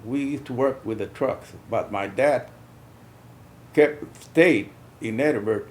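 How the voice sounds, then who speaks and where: An older man from South Texas recalling his youth in a halting way, often repeating words. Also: medium pitch, darkish tone, a little hiss or hum. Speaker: interviewee, veteran. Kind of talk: casual recollection.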